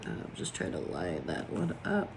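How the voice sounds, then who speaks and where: A woman's voice: rumbling low, huge pitch swings, measured pace, creaky, noisy background. A woman with a froggy, allergy-worn voice chatting casually as she sets up her planner, with plenty of fillers. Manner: chatty, lots of fillers, talks while placing stickers. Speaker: planner vlogger. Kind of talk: casual chat while crafting.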